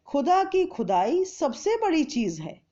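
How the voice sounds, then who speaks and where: A woman reads as a fawning, anxious courtier in a storybook tale. The pitch is high and swings eagerly as he pleads deferentially, in a slightly lo-fi recording. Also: very animated, takes it slowly, dark tone, exaggerated voice. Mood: anxious, pleading. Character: fawning, anxious male royal courtiers pleading with their emperor.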